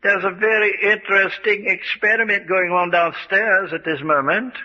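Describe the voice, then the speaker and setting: A piercingly bright, high-pitched male scientist, fussy and precise, coldly relishing his grim work. It is old radio mystery acting on a dull, lo-fi recording. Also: fairly even intonation, normal speed. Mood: smooth, formal. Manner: pompous, precise, chuckling over grim matters. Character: glib, coldly fanatical male scientist, relishing fear experiments.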